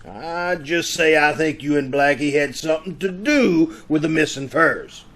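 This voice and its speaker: A man playing a gruff, suspicious police inspector in an old-time radio drama, sardonic and accusing, over a video call. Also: a little high, measured pace, a bit bright. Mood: dry, suspicious. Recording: faintly noisy video call recording.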